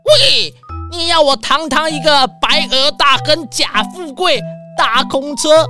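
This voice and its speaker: A woman's voice: high-pitched, expressive, slow, music in the background. A woman with a Taiwanese Mandarin accent playing a spoiled, pompous rich man in a children's radio drama, whiny, dramatic and pleading. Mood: grumbling.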